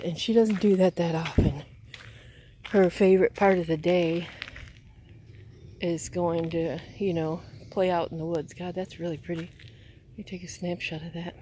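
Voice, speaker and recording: A woman's voice: fairly low pitch, dark tone. A woman vlogger chatting casually and musing aloud in a rambling way, recorded outdoors on a handheld camera. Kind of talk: casual walking vlog chat. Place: outdoors on a wooded gravel driveway and yard. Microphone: handheld phone or camera. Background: clear outdoor ambient noise.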